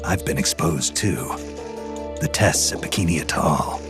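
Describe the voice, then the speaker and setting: A man playing a terse, gruff, stoic soldier hero in a video game drama. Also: very deep voice, a little slow, music in the background. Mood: subdued.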